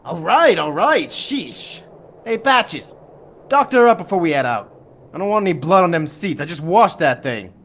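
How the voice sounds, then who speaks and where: Guy doing a gruff pony thug, sneery and folksy, kinda high for a man, slight noise behind, audio story. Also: big pitch swings, normal speed, fairly bright tone, full character voice. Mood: grudging, bossy. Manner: sneering, coarse folksy talk. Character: callous, gruff male pony henchman. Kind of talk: dramatised audio story reading.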